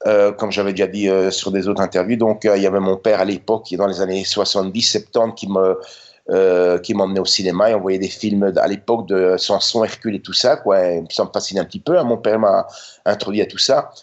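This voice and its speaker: A man's voice: deep voice, steady tone, a little fast, muffled recording. A man in his fifties with a Belgian French accent, telling stories as a podcast guest in a rambling, chatty way, often tacking on little tag words. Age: 50s. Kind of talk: casual storytelling interview.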